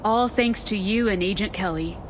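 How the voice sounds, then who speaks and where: A woman's voice: medium pitch, a little hiss or hum, natural voice. Woman acting a composed, gracious settlement aunt. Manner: measured, courteous. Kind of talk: scripted game cutscene dialogue.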